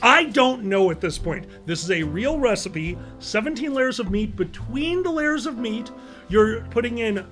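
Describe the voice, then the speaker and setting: A male comedy podcast host with a high, bright voice. He tells stories casually and emphatically, swearing freely, with music playing faintly. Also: measured pace. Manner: animated, emphatic, profane. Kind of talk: comedic storytelling, casual banter.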